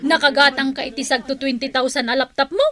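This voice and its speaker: A woman's voice: high voice, steady tone, brisk, fairly bright tone. A woman playing a practical young female office co-worker in a radio drama, scolding in an exasperated, nagging way.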